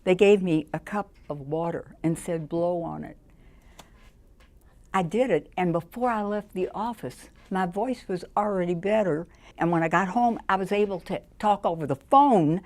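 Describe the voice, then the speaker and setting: Older lady, very low voice, chatty and laughs a lot. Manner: chatty and lively, laughing often. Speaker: patient giving a testimonial. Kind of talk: personal testimonial.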